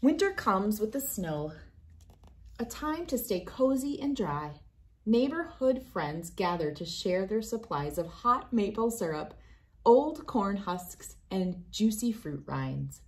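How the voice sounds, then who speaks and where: A woman in her thirties reading a picture book aloud for children, with an expressive, deliberate storytelling delivery. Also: mid-range voice.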